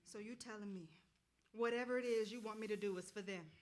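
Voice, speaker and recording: A woman's voice: mid-range voice, a little fast, lightly acted. A woman acting a weary, exasperated woman who hears spirits, protesting in vernacular speech in a staged play reading. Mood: defensive.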